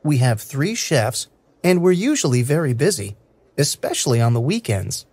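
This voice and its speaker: Brief: male reader for learner audio, careful diction, lively intonation, dry booth sound. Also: medium pitch, normal speed, no room sound. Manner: clear, careful, evenly paced delivery. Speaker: English listening practice reader. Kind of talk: slow scripted reading for learners.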